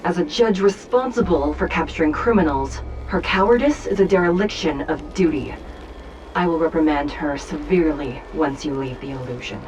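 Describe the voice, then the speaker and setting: An actress playing a stern, composed judge in a video game, speaking in a formal, measured way. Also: female voice, sits low, darkish tone, slight background noise, natural voice, low-quality recording. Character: stern, composed female judge, an illusory double. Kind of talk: video game character acting.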